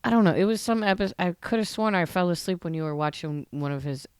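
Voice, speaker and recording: A woman's voice: fairly low pitch, lively intonation, fast talker, a little mellow, smooth, no echo, studio quality. A woman taking part in a podcast with casual, conversational talk. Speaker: podcast participant. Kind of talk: casual chat.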